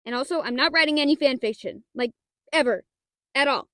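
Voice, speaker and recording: A woman's voice: sits high, fairly quick, bright, forceful tone, no echo, clean studio sound. A woman playing a shy, nerdy half-dragon librarian in a roleplay audio drama, talking in a hesitant, flustered, stammering way. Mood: embarrassed denial. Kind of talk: roleplay audio drama, one-sided dialogue.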